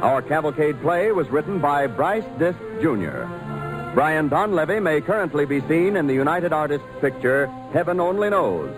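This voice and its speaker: A man's voice: fairly high pitch, sing-song, moderate pace, low-quality recording. A man announcing in a formal, dramatic 1940s radio style, reading from a script on an old broadcast. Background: music.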